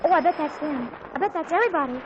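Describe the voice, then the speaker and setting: An actress playing an excitable teenage girl fussing over her own party in a 1940s radio comedy, talking in breathless, gushing chatter. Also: high-pitched, very fast. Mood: excited, gushing. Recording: muffled old radio broadcast with hiss.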